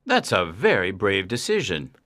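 Woman putting on a lively male alien in disguise. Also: very deep voice, normal speed, voice pushed down, full character voice. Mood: explaining calmly. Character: disguised male alien, secretive, startled, from distant planet. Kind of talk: audiobook character dialogue.

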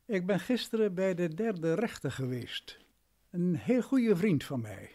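Radio play role: condescending, domineering male lawyer, highish voice with very animated intonation. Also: unhurried, heavily acted. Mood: imperious. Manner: patronising, imperious.

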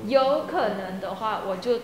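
A woman's voice: medium pitch, expressive, a crowd in the background. A woman working as interpreter, replying hesitantly in casual chat. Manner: slow, hesitant, short replies. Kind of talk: casual chat, switching between English and Chinese. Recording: echoey room with faint noise.

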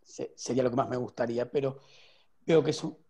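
A man in his thirties, a guest developer, explaining at length on a video call from home with many restarts and pauses. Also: medium pitch, steady tone, mellow, husky. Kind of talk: casual video call interview. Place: home room with window. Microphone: earphone headset on video call.

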